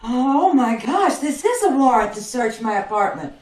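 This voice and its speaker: A woman playing a plucky, flustered girlfriend of a suspect in an old-time radio drama, exclamatory and quick to quip, in a roomy space. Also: on the high side, sing-song, a little mellow. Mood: wry, flustered. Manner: exclamatory, quipping. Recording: roomy, echoing video call sound.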